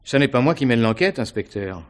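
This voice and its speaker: An actor playing a courteous, evasive theatre gentleman under suspicion in a French radio mystery play, speaking in a measured way. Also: medium pitch, animated, lightly acted. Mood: measured, wary. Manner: courteous, measured, slightly defensive.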